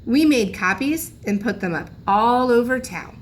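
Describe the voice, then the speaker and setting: A woman teacher reading a children's storybook aloud in a deliberate, expressive way. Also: on the high side. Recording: background noise in room recording.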